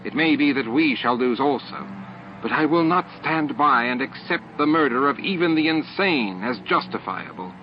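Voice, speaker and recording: A man's voice: mid-range voice, animated, normal speed, pitched above the performer's own voice, natural voice. A man voicing a fierce, snappish elderly female alien scientist in an audiobook drama. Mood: defiant. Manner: snappish, forceful, righteous. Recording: dull lo-fi audio with music.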